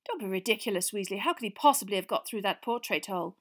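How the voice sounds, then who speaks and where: A woman's voice: mid-range voice, lively intonation, quick pace. A woman voicing a strict, imperious older female schoolteacher in a storybook, stern and exasperated.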